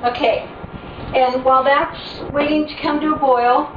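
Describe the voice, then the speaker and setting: A woman's voice: high voice, steady tone, measured pace. A middle-aged woman hosting a home cooking video, chatting casually and making self-deprecating asides as she works. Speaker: home cooking show host. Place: home kitchen, indoors. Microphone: fixed camera across the room. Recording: echoey, distant, dull, noisy home video.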